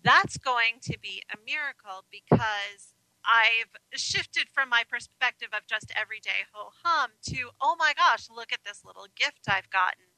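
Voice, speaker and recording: A woman's voice: a little high, lively intonation, unhurried, pushed and bright, dry recording, low-quality recording. A woman with an American accent giving friendly, reflective, conversational answers as a radio guest. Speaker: radio guest, life coach.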